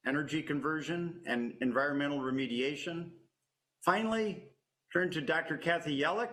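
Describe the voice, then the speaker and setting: A man moderating a virtual science panel over a video call, speaking in a measured way with frequent pauses and fillers. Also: fairly high pitch, fairly bright tone.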